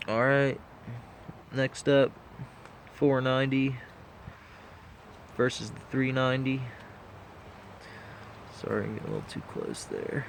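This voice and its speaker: A man's voice: mid-range voice, lively intonation, measured pace, vocal fry, pauses often, slight background noise. A man with a stuffed-up nose, talking casually and rambling with lots of fillers. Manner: laid-back, rambling with many fillers. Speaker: diecast model collector vlogger. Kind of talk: casual walkthrough talk.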